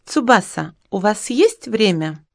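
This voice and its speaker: A woman playing a friendly young female student named Luda in a language-course dialogue, reading in a clear, even way. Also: medium pitch, measured pace, no room sound, studio quality. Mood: plain.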